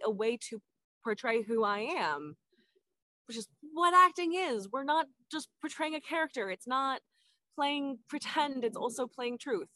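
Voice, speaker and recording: A stage actor chatting as a podcast guest, lively and laughing often, with the pitch jumping up when excited. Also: female voice, no room sound. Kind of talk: casual chat.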